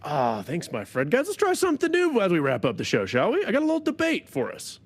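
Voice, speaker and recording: A man co-hosting a casual podcast, trading jokey, animated banter. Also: sits high, quick pace. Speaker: podcast co-host.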